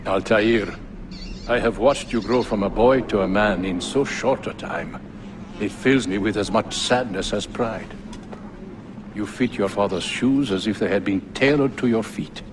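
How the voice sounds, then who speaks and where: An actor playing a wise, fatherly older male mentor who speaks in a gentle, reflective way. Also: lowish voice, unhurried, noisy background, close to an everyday voice. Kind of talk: video game cutscene acting. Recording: game score under the dialogue.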